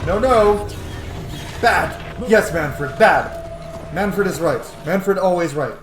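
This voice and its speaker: A man in his thirties giving casual, sweary let's-play commentary in an animated way. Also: high-pitched, slow pace. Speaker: gaming streamer. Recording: roomy, game music behind. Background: game music.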